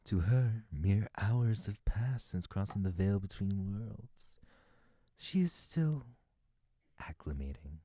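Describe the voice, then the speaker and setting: A menacing fey lord acted for an audio drama, low and mellow. The delivery swings widely in pitch, deliberate and condescending, as this captor manipulates with theatrical poise. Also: animated, no echo, studio quality, full character voice. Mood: silkily menacing.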